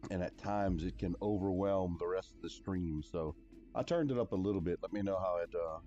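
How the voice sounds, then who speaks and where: A man with a deep voice, casually chatting to viewers as a livestreamer in an easygoing, rambling way. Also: brisk, dark tone, lo-fi sound. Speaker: game livestreamer. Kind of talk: casual chat with stream viewers. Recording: music behind. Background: clear background music.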